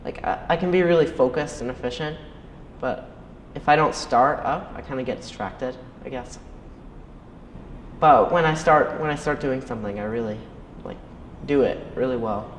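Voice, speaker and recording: Soft hedging student, echoey room. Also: mid-range voice, normal speed, slight background noise. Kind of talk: interview answer.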